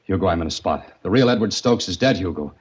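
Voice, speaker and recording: An actor playing a hard-boiled adventurer who narrates and speaks in a 1940s radio drama, with wry, tough delivery. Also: male voice, deep voice, animated, quick pace, husky. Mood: urgent. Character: hard-boiled male adventurer held captive. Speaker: narrator and lead. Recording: dull old radio recording.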